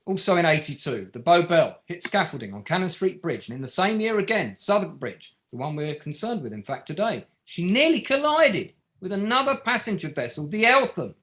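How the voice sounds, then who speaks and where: A relentless barrister acted by a man presses a witness in a courtroom drama. His voice is high and sharply bright, swinging widely in pitch as each pointed, sardonic question lands. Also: sing-song, brisk, hardly any pauses. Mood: methodical, accusing. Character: relentless barrister cross-examining a witness at an inquiry. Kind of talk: scripted courtroom drama table read.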